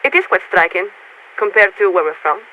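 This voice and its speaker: A woman playing a polite, detached warship officer in a video game, speaking formally and with measured hesitation through a radio filter. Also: medium pitch, lively intonation. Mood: musing, courteous. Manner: formal, measured, hesitant when searching for words. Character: polite, detached female warship crew officer surveying a city. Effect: military radio transmission filter. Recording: tinny narrowband radio with hiss.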